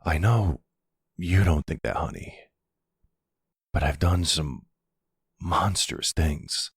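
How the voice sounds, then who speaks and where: A man playing a charming middle-aged mobster and remorseful expectant father in an intimate, soft-spoken, affectionate ASMR roleplay. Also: extremely low pitch, husky, no room sound, clean studio sound, heavily acted. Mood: regretful, tender. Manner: soft, soothing, affectionate, unhurried.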